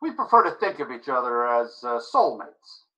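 Bright, sharp lovelorn young man. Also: medium pitch, big pitch swings, moderate pace. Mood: sulky. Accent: American. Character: lovelorn, aggrieved young boyfriend, naively earnest. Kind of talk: 1940s radio drama acting. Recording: dull old radio recording.

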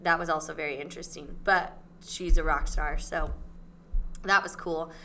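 A woman's voice: low voice, fairly even intonation, moderate pace, bright, forceful tone, a little hiss or hum. A woman hosting a podcast in casual chat, breezy and informal in how she talks. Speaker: podcast host, teacher.

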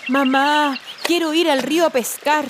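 An eager, grumbling young Chilean girl in a radio drama. She has a high, childlike voice and speaks slowly, over faint background ambience. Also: steady tone, background noise. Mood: wistful, grumbling. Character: eager, grumbling young daughter of a farming family. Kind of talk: family radio play.

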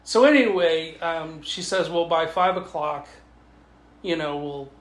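A man from Canada telling a casual, humorous travel story to a writers' group, animated and chuckling often as he acts out the dialogue. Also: fairly high pitch, measured pace. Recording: echoey room with faint noise.

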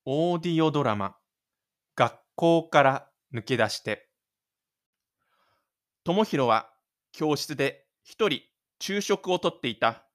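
Clear, higher-voiced man hosting his audio show. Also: lively intonation, slow pace, pauses often. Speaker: host and writer of a self-made audio drama. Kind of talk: casual radio-show talk and scripted narration.

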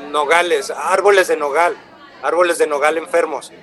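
A male actor plays an earnest, polite traveling buyer in a radio drama. His voice is a touch high and his manner eager and explanatory. A low murmur of café customers sits behind him. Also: steady tone, normal speed, a bit bright, some chatter behind, close to an everyday voice. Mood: patient explaining.

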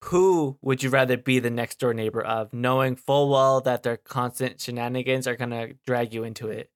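A man's voice: mid-range voice, fairly even intonation, moderate pace. A man co-hosting a podcast, opening the show and chatting casually with an easy, amused manner. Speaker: podcast co-host. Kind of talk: casual chat.

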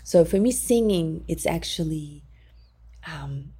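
A woman who teaches singing, talking in an interview in calm, gentle, halting non-native English with many fillers. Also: deep voice, breathy edge. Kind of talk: conversational interview.

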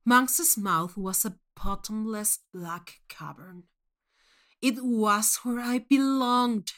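A woman reading first-person fiction as a scripted narration, in a measured, expressive storytelling manner. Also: a little high. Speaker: short story reader.

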